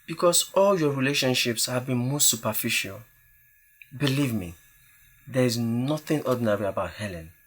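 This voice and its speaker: An earnest young man played by an actor in an audio drama. He is apologetic and explains himself in a measured way, at a middling pitch. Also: rough recording. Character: earnest, apologetic young male friend of groom.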